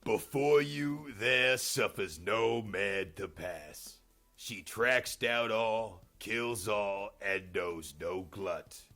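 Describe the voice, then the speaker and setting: A man's voice: fairly low pitch, drawn-out words, bright, forceful tone, faint music behind, full character voice. A man voicing the ghost of an ancient poet, a grave prophetic guide, declaiming solemnly in an epic poetry reading. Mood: grave warning. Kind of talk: dramatic storybook poetry reading.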